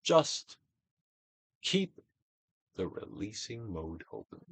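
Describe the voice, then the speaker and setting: Theatrical man reading with sweeping, dramatic pitch. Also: very animated, slow pace, slightly rough, no room sound. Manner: theatrical, emphatic, swinging from hushed near-whispers to loud projection. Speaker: audiobook reader, actor. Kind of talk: dramatic reading of a self-help book.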